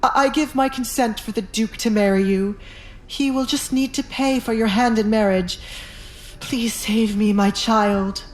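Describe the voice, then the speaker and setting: A woman's voice: on the high side, steady tone, measured pace, voice pushed up, close to an everyday voice, low-quality recording. A woman voicing a cowardly middle-aged baron, a desperate and wheedling father, in an audio drama. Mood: desperate pleading. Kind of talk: audio drama narration with acted dialogue.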